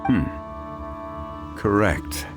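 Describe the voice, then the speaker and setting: An actor playing a composed, scholarly gentleman in a video game, speaking in a formal, measured, analytical way. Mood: pondering. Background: faint game music.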